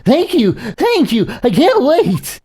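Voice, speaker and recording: A woman's voice: high-pitched, huge pitch swings, slow pace, mellow, a little hiss or hum, exaggerated voice. Woman playing a chatty, swooping circus clown. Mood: eager. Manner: rambling, eager, stumbling. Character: chatty, eager male circus clown witness fond of bad jokes. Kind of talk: amateur voicing of a courtroom video game.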